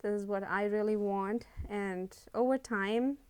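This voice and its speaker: A woman's voice: medium pitch, steady tone, a little slow, clear, resonant tone. Reflective young woman from India. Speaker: student. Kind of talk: personal testimony in a documentary interview.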